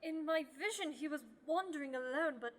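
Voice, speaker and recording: A woman's voice: high voice, a little fast, lightly acted. A young woman playing a long-lived sorceress in a radio play, blunt and dry with sardonic, theatrical asides. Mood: ominous. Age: young adult. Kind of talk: scripted radio play acting.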